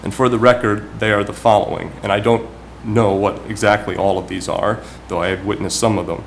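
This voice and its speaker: A man giving prepared, formal remarks as a town councilman at a public meeting, speaking in a measured, deliberate way. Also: fairly low pitch, fairly even intonation. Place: municipal meeting room. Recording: clearly noisy public meeting recording.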